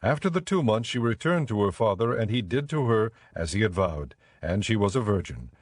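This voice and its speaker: A man giving scripted, measured and solemn narration for a dramatized audio Bible. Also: fairly low pitch, big pitch swings, no echo, clean studio sound. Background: background music.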